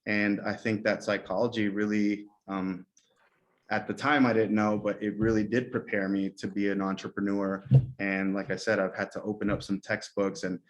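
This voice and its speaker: A man's voice: on the low side, little pitch movement, moderate pace. A man on a panel, speaking thoughtfully with fillers. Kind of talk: casual panel talk.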